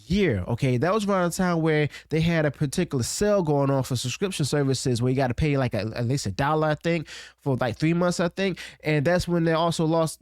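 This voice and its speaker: A man's voice: fairly high pitch, a little mellow, no room sound, studio quality. A man giving opinionated, unscripted commentary, punctuating his points with a questioning "okay?". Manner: emphatic, keeps tagging points with "okay?" and "now"; loose and unscripted, with restarts. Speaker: online commentary host.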